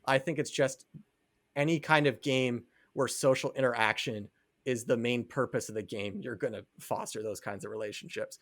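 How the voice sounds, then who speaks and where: A man's voice: mid-range voice, moderate pace, fairly bright tone, dry recording. Casual male podcast co-host, dry close sound. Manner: rambling, filler-heavy conversational delivery with frequent "like". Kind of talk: casual chat.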